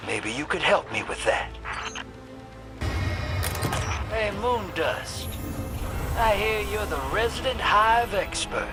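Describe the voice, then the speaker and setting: Shady streetwise drifter for a game: teasing folksy slang, animated pitch, takes his time. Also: unhurried, over loud music. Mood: wry, guarded. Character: shady, streetwise, sardonic male drifter rogue. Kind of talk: video game voice acting.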